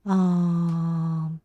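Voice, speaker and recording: A Japanese woman with a Japanese accent, practising language aloud on a casual livestream in a halting, hesitant way. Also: lowish voice, warm tone, no room sound, lo-fi sound. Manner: hesitant, halting English with self-corrections. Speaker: live streamer learning English. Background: computer keyboard typing and clicking.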